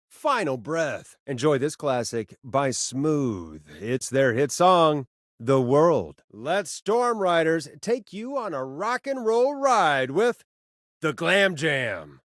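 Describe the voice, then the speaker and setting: An actor playing a smooth, cheeky late-night rock radio DJ with a showy, theatrical announcer delivery in a video game. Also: male voice, fairly high pitch, a bit bright, no echo, studio quality, heavily acted. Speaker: radio host. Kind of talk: radio DJ patter and game voice lines.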